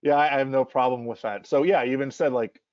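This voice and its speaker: A man's voice: medium pitch, fairly even intonation, fast talker, dry recording. Man hosting over a dry, dull call. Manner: loose, friendly questioning with restarts. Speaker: host. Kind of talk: casual interview. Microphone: video call.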